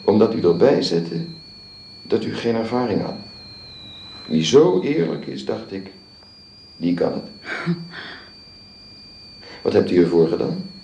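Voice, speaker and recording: A man's voice: lowish voice, animated, slow, gravelly, pauses often, mellow, dull audio, full character voice. An actor playing a courteous middle-aged father and employer in a Dutch radio drama, speaking formally, hesitantly and persuasively. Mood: musing, intimate. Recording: roomy, hissy old radio recording.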